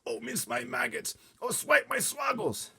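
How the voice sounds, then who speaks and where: A man voicing a huge, excitable giant in a storybook, kindly but easily agitated, with muddled folksy grammar and theatrical exclamations. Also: extremely high pitch, unhurried, slightly rough, pitched above the performer's own voice, heavily acted. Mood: anguished, furious.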